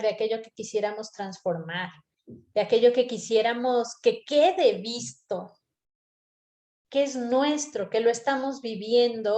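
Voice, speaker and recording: A woman's voice: a little high, a little mellow, reverberant. A woman teaching a storytelling workshop over a video call, earnest, repeating phrases for emphasis.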